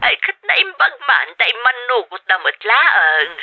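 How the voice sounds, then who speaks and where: A woman's voice: on the high side, sing-song, unhurried. A woman playing a caring older mother with an Ilocano accent in an audio drama, heard through a telephone filter. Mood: pleased, curious. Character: older mother, caring and agreeable toward her grown child. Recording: narrow tinny telephone sound.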